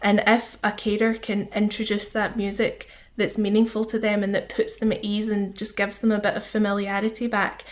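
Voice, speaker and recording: A woman speaking as a charity officer and interview guest, explaining things in an earnest, conversational way. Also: mid-range voice.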